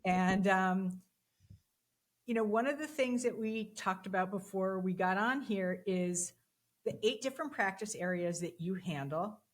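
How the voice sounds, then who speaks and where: A woman's voice: mid-range voice, measured pace. A woman hosts a podcast interview in a loose, chatty manner. She leans on fillers and repeats words as she frames her questions. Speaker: podcast host. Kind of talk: conversational interview.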